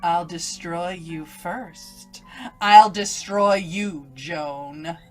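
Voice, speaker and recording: A woman's voice: on the low side, extremely slow, darkish tone, faint music behind. A woman playing an evil inner voice, a cruel and taunting alter ego with mocking menace, in an old-time radio horror drama. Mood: threatening.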